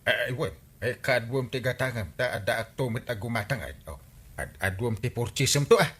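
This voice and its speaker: An actor playing a blustering, wheedling village captain in an Ilocano radio comedy drama, cajoling with hesitations and exclamations. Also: male voice, medium pitch, moderate pace, a bit bright, slightly rough. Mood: sheepish.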